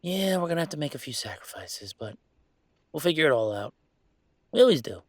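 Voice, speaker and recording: A man playing a young werewolf boyfriend in an intimate audio roleplay, talking in a halting, rambling, conversational way with a gravelly creak. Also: a little high, big pitch swings, no echo. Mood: hopeful. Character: young male werewolf boyfriend, hesitant, affectionate, weighing a move.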